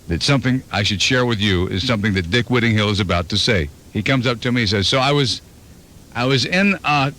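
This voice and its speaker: A man's voice: medium pitch, fairly bright tone. Male radio host, joking and storytelling, 1991 FM aircheck sound. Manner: storytelling, playful, slips into voices. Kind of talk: fast joking radio banter. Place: radio studio.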